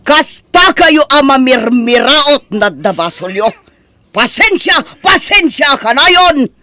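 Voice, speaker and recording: A woman with an Ilocano accent playing a sharp-tongued, disapproving middle-aged mother-in-law in an audio drama, scolding and emphatic. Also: high voice, animated, takes it slowly, no room sound, clean studio sound, exaggerated voice. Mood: angry scolding.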